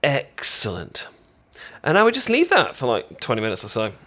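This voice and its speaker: A British man acting out an eager, friendly best friend in a casual ASMR roleplay, with a halting, stammering, rambling way of talking. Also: mid-range voice, huge pitch swings, bright, forceful tone. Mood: chatty, instructive. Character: friendly, eager young male best friend teaching sushi-making.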